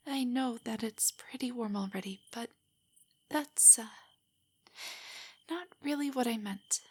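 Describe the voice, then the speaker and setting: A woman playing a shy, nerdy young girlfriend in an intimate audio roleplay, soft and breathy, stammering with nerves. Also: a little high, a little slow. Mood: nervous, shy. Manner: nervous, stammering, hesitant rambling. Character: shy, nerdy, easily flustered young adult girlfriend on a date.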